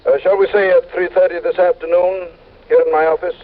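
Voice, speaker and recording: An actor playing a fussy, self-important, stingy insurance executive in a 1960s radio drama, speaking in a prim, formal, punctilious way. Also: male voice, high voice, flat delivery, brisk, natural voice. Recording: thin, phone-like old radio recording.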